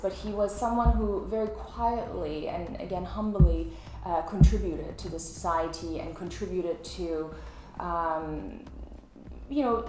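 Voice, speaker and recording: A woman's voice: lowish voice, darkish tone. A woman from Iran, a journalist, talking as a guest in her home, thoughtful, with many "you know" and "um" fillers. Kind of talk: casual interview conversation. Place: home flat, indoors. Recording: echoey room with faint noise.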